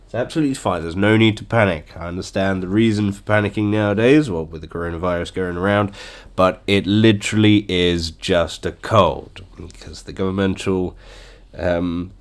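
A man's voice: low voice, fairly even intonation, moderate pace. A man hosting a casual podcast with a blocked nose from a cold, chatty and joking, chuckling often. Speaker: podcast host. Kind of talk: casual solo podcast chat.

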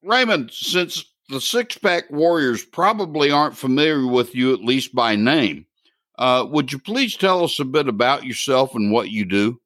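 A man's voice: mid-range voice, lively intonation, measured pace, a bit bright, no room sound, clean studio sound. A man hosting a podcast interview who introduces and welcomes his guest with enthusiasm. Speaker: podcast host.

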